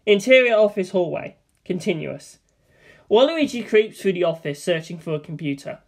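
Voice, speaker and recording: A script narrator with a middling pitch reads out a screenplay. The delivery is scripted narration of scene headings and action. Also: animated, normal speed.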